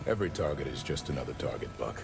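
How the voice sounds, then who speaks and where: An actor playing a cold, hardened, unsentimental supersoldier in a video game, gravelly and terse. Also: male voice, a bass voice, lively intonation, fast, heavily acted. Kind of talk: video game cutscene acting.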